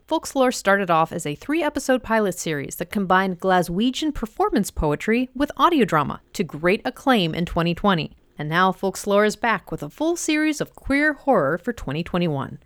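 A woman's voice: medium pitch, sing-song, moderate pace, few pauses, no echo. A woman hosting an audio drama podcast, giving a cheerful, upbeat introduction. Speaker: host of audio drama showcase. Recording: dry studio voice over soft music.

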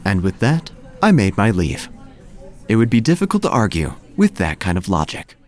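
A man playing a quirky investigator who narrates his own tale in a theatrical, deadpan, wry way. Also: lowish voice, moderate pace. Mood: wry, probing. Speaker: narrator and lead actor of an audio drama. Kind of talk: scripted first-person noir-like narration. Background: music.

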